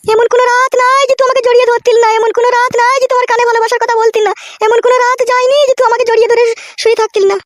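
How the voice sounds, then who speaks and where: A woman's voice: very high voice, flat delivery, racing, few pauses. A woman voicing a betrayed, scolding village wife in a comedy cartoon, with a rural West Bengal accent, ranting and wailing. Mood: hurt, indignant. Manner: nagging, cursing, wailing rants. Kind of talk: comedy cartoon dub, melodramatic quarrelling.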